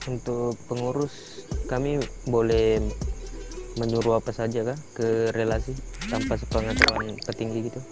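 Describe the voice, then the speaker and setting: A man's voice: medium pitch, lively intonation, normal speed, pauses often, natural voice. A man playing a deferential crime family underling in an improvised game roleplay, talking in a questioning way. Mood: deferential, inquiring. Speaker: family member in online roleplay. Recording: music playing behind the voice.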